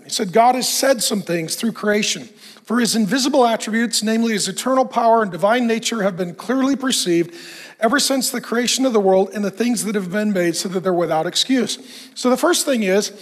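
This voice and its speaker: High-voiced pastor preaching, a bit hoarse. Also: male voice. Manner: animated, conversational preaching with self-deprecating humor. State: losing his voice, clearing throat. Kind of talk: sermon. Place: church stage, indoors.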